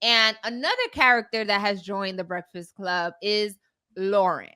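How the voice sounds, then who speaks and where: Bright-voiced woman chatting. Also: medium pitch, steady tone, moderate pace, clear, resonant tone. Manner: conversational, often seeking agreement with "right?". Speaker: talk show host. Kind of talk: casual chat recapping celebrity news.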